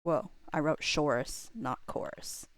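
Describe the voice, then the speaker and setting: A woman's voice: sits low, a little slow, a little hiss or hum. A woman livestreamer chatting casually and thinking aloud, with a playful, self-deprecating and hesitant manner, muttering to herself as she works.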